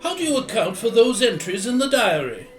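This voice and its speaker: A man's voice: extremely high pitch, very animated, a little fast. A man playing an earnest, polite doctor and loyal companion to a detective in a period mystery audio play, formal and inquisitive. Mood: earnest, inquiring. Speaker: actor in audio drama. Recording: echoey room, faint background noise.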